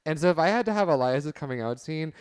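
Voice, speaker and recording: A man's voice: a little high, expressive, quick pace, clear, resonant tone, dry recording, studio quality. A man telling comic stories casually in a wry, mock-serious, wordy way. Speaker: podcast panelist.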